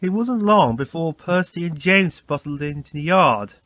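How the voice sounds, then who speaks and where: A man's voice: fairly high pitch, animated, normal speed, fairly bright tone, no echo. A man reading a scripted children's story as narrator.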